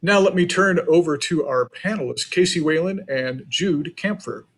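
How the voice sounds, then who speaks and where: A man's voice: on the high side, moderate pace, a bit bright, slightly rough. A man giving a prepared welcome and announcements as host of a panel. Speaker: festival president introducing a panel.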